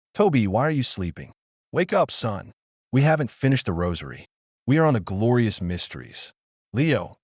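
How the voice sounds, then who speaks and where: A man performs a middle-aged father gone mad with piety in an audio play. His lowish voice moves widely in pitch, in a close, dry sound. He is controlling and intense, justifying himself in short, emphatic, sanctimonious phrases. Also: no room sound. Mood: stern. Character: deranged, pious, controlling middle-aged father, coldly self-justifying fanatic. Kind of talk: scripted audio drama monologue.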